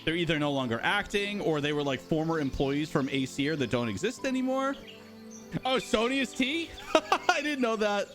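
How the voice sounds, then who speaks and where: Male game-studio streamer, casual and chatty, fairly high voice that swings widely. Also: sing-song, normal speed, a bit bright, faint music behind. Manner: chatty, rambling, talks to chat. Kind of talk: casual live stream chat.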